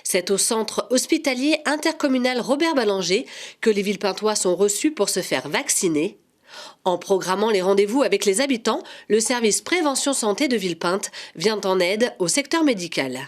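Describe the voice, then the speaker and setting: A woman narrating a local news report in a measured, scripted voice-over. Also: mid-range voice, animated.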